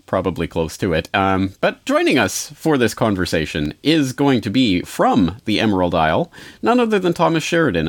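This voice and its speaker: A man's voice: low voice, dry recording. A man hosting a podcast, talking in a rambling, conversational way with many fillers. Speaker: podcast host.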